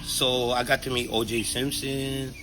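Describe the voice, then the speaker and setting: A man's voice: medium pitch, flat delivery, measured pace, over music, poor audio. A man from Hawaii with a Hawaiian Pidgin accent tells personal stories casually, in a candid, slangy, playful way. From Kahuku, Hawaii. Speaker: interviewee.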